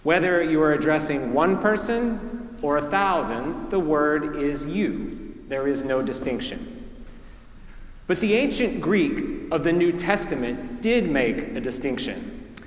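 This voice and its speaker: A male priest with a Southern accent delivers a sermon in a slow, measured manner, letting each phrase settle before moving on. His voice sits a little high for a man. The sound carries the long, roomy echo of a large church. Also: lively intonation. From Mississippi, USA. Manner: slow, measured preaching with deliberate pauses. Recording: echoey church hall.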